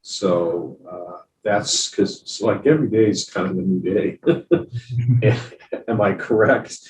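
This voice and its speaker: A man moderating a panel, asking halting, measured questions. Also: fairly low pitch, darkish tone, roomy sound, recorded from a distance, a little hiss or hum, low-quality recording.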